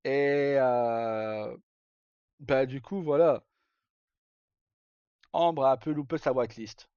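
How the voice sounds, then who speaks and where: A man's voice: medium pitch, slow, darkish tone, clear, resonant tone. A man chatting casually to live viewers as a streamer, rambling and hesitant in colloquial speech with some swearing. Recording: dull lo-fi mic in echoey room.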